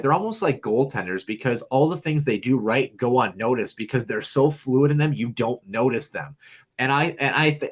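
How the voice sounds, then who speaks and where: Nasal, whiny-voiced man talking sports. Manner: rambling, animated, jumps in pitch. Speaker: podcast co-host. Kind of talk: casual sports chat.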